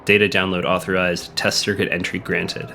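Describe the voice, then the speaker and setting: A man with a cold, hoarse and a little sniffly, chatting casually while playing a video game, thinking aloud and reading on-screen text. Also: low-pitched, little pitch movement, normal speed. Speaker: let's play host. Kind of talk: casual gameplay commentary. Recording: game music playing behind the voice.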